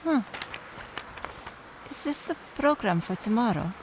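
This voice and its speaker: An earnest, slow-spoken mourner. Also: female voice, a little high, lively intonation, background noise. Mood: subdued, reflective. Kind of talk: radio play dialogue.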